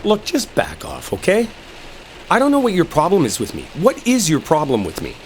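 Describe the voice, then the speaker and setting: A man's voice: a little high, wildly swooping pitch, normal speed. A man playing an irritated, sarcastic coworker arguing as he drives, in a roleplay audio drama. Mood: defensive anger. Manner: irritated, sarcastic, swearing, argumentative. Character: exasperated, sarcastic male coworker arguing while driving. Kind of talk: enemies-to-lovers roleplay audio acting. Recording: rain and car noise behind. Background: rain storm and vehicle ambience.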